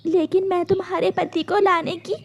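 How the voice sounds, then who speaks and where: Woman as a kind, high-voiced cartoon mother bird. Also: level tone, normal speed, creaky, lo-fi sound, a little hiss or hum. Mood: sorrowful. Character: kind poor female bird farmer, earnest and caring.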